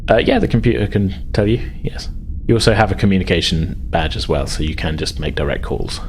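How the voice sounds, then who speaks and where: A low-voiced man acts as game master for a tabletop roleplaying game. He explains things casually and loosely, hedging often. Faint room noise sits behind him. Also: expressive, fairly quick. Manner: casual, hesitant hedging with "sort of" and "like".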